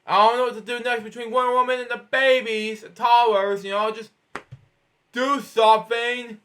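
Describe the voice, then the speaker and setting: A man's voice: very high-pitched, flat delivery, moderate pace, bright, forceful tone. A man reading a children's storybook aloud, stumbling over words and breaking off for rambling asides of his own. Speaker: storybook reader.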